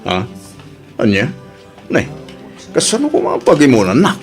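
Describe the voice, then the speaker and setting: A man's voice: medium pitch, wildly swooping pitch, unhurried, soft background music. A man acting an evasive, hesitant married man in an Ilocano audio drama, with a Filipino accent. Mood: evasive, uneasy.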